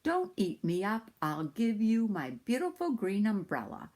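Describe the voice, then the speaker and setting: A woman voicing a small frightened boy in a storybook, speaking in a high, pleading childlike voice. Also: wildly swooping pitch, unhurried, a little mellow.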